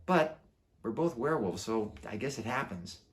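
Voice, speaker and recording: A man who is an author reading his children's book aloud in an animated storytelling style. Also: medium pitch, normal speed.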